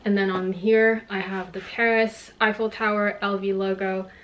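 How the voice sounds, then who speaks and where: A woman's voice: medium pitch, flat delivery, moderate pace, fairly bright tone, slight background noise, low-quality recording. Thirties woman vlogging at home, cantonese first language, chatty, laughs a lot. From Montreal, Canada. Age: 30s. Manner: chatty, explains with hand gestures, laughs often. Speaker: fashion YouTuber. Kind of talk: Q&A vlog chat. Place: bedroom in a home, indoors.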